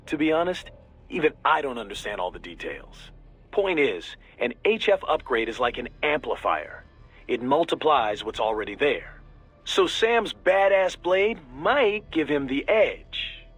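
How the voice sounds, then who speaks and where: A man's voice: mid-range voice, sing-song, measured pace, a bit bright, slightly rough, soft background music, exaggerated voice. An actor playing an easygoing, tech-savvy male mission advisor in a video game, explaining things casually and keenly. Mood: amused, casual. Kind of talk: video game radio briefing voice acting.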